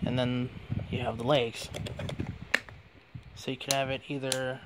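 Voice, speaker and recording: A man's voice: medium pitch, level tone, unhurried, very noisy. A man giving a casual hands-on product demonstration as a reviewer.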